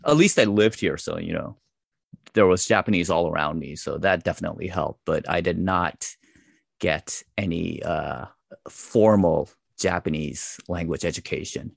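A man in his forties with a very low, gravelly voice chats as an interview guest, relaxed and self-deprecating and full of fillers. The recording is close and dry, with no room sound. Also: moderate pace, dry recording. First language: English. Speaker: interview guest, wrestling translator. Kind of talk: casual interview chat.

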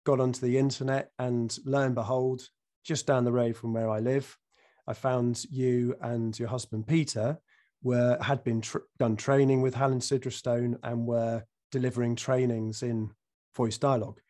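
A man hosting a podcast, croaky after a cold, speaking slowly with many ums and restarts as he introduces his guest over a remote call. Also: medium pitch, little pitch movement, dark tone. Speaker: podcast host. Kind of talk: casual interview.

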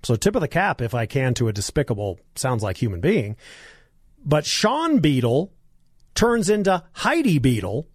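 A hoarse man hosting a talk radio show, delivering a combative, sarcastic monologue and coughing now and then. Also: fairly high pitch, no echo, clean studio sound.

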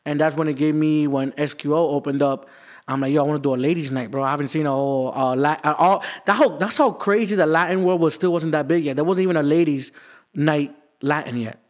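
Young Miami party promoter, rapid-fire, fairly high voice, emphatic, "bro" every other line. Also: male voice. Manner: quick, emphatic, peppers speech with "bro". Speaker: nightlife promoter. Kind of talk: casual podcast chat.

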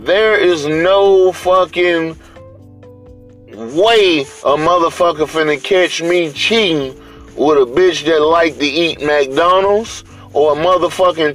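A man's voice: fairly high pitch, fairly bright tone, poor audio. A man with a Southern US accent hosting a podcast in a casual, unscripted monologue, blunt, slangy and swearing heavily. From US South, Atlanta area. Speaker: podcast host.

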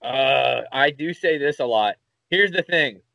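A man with a Philadelphia accent, co-hosting a podcast in casual chat, telling rambling, animated stories and swearing casually. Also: medium pitch, a little slow, gravelly, no echo. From Philadelphia area, USA. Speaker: podcast co-host.

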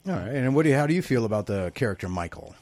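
A man's voice: medium pitch, lively intonation, very fast, a little mellow, no room sound. A man hosting a live call-in radio show, joking and laughing often.

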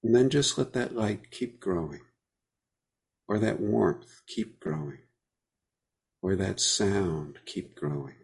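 A man leading a guided meditation, speaking gently with unhurried pauses between phrases. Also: low voice, vocal fry.

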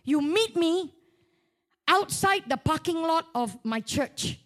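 A woman with a Malaysian accent preaching through a humorous, animated personal story, colloquial and full of local particles, acting out dialogue as she goes. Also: extremely high pitch, slow pace. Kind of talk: storytelling sermon with acted-out dialogue.

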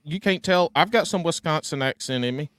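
Teasing podcast co-host, dry close sound. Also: male voice, on the high side, fairly quick, clear, resonant tone, no room sound. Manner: teasing, joking, deadpan. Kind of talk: casual joking podcast banter.

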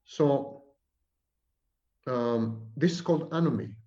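A man's voice: mid-range voice, slow pace, dark tone. Emphatic lecturing man, roomy dull sound. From Israel. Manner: emphatic, repetitive, sweeping declarations. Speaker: psychology professor guest. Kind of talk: lecturing interview answers.

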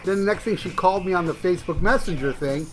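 A man's voice: sits high, fast, faint music behind. An American man hosting a podcast, telling rambling, crude stories with lots of swearing and laughter. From United States. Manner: rambling storyteller, swears freely, laughs often. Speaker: podcast host. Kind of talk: casual crude podcast chat. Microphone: headphones.

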